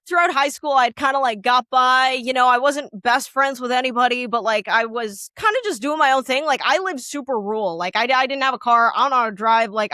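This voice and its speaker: Young woman with a loud, piercing voice. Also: sits high, no echo, clean studio sound. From Canada. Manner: rambling, candid, lots of fillers. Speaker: musician guest. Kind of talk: casual interview chat.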